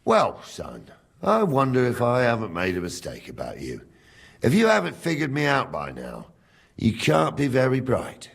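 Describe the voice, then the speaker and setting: Husky, gruff old guard captain. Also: male voice, mid-range voice, huge pitch swings, moderate pace, exaggerated voice. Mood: gruff, matter-of-fact. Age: older. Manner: blunt, teasing, colloquial. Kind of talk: video game dialogue acting.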